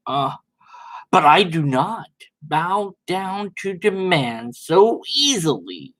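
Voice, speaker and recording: A man's voice: sits high, sing-song, slow pace, a bit bright, pitched above the performer's own voice, heavily acted, rough recording. A man voicing a pompous, self-righteous religious internet debater in a melodramatic, condescending mock reading. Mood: mock-wounded, grand.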